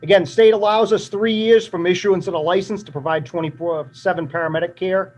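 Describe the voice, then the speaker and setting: A man presenting a fire department budget at a town meeting, matter-of-fact and steady, his voice drying up. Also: sits high, fairly quick. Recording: dull lo-fi meeting recording.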